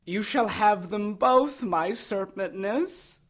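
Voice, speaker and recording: A woman playing a sly, sardonic villain's henchwoman in an audio drama, fawning with mocking, theatrical flattery. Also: medium pitch, slow pace. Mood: gleeful scheming.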